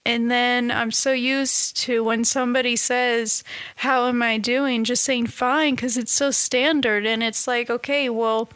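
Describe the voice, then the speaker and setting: Woman vlogging slow and hesitant, bit higher voice, stuffy nose, lots of restarts. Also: clear, resonant tone, no room sound. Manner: halting, many restarts and soft laughs. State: stuffy nose, slightly nasal. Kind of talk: casual reflective monologue.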